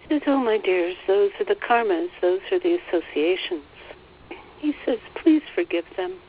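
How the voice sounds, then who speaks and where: A woman leading a guided meditation, relaying a guide's words in a gentle, soothing way and often repeating phrases. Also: medium pitch, lively intonation, moderate pace, husky, narrow, tinny audio. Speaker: spiritual teacher leading a meditation.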